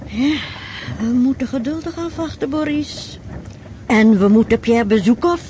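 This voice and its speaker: A woman's voice: sits high, measured pace, mellow. An actress in a radio drama playing an anxious, scheming, impoverished mother, fretful and urging. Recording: clearly noisy radio play recording.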